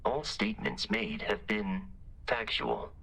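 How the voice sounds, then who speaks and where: A man's voice: on the low side, very animated, slow, vocal fry, pushed and bright, breathy edge, exaggerated voice. A man voicing a polite, formal robot in a video game, speaking precisely and literally. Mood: clinical. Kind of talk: scripted video game dialogue.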